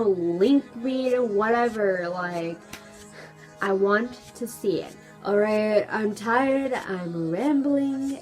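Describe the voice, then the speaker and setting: A woman's voice: medium pitch, a little mellow. A young woman vlogging casually from home, chatty and rambling with lots of fillers, her voice stuffed up and congested. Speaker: hair tutorial vlogger. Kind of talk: casual vlog chat.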